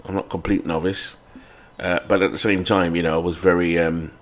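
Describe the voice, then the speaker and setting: A British man with a lowish voice talks casually over a computer baseball game, rambling from thought to thought. He hesitates and corrects himself often and keeps an easy, chatty flow. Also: level tone, brisk. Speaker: game channel host.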